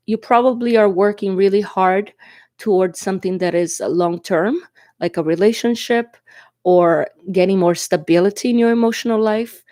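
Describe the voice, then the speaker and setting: A woman's voice: medium pitch, warm tone, no echo, studio quality. Congested Portuguese-native woman reading tarot. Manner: chatty, reassuring, often asks "okay?". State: congested from allergies or a cold, coughing. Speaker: tarot reader. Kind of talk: casual tarot reading to camera. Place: indoors at a table.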